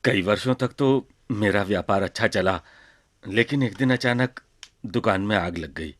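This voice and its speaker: A man's voice: lowish voice, unhurried, dry recording, studio quality. A man playing a poor village shopkeeper fallen on hard times, humble, sorrowful and hesitant, in a cartoon story. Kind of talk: Hindi cartoon story acting.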